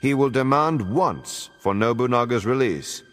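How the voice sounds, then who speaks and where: A man giving a dramatic scripted historical narration for a game cutscene, in a measured, formal storytelling manner. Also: medium pitch, lively intonation, fairly bright tone. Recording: music behind the narration. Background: background music.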